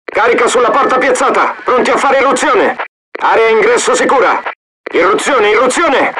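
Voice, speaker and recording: A brisk mercenary field soldier. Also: male voice, very high voice, animated, noisy background. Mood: terse. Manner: brisk radio reports. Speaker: squad soldier on radio. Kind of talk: video game voice acting.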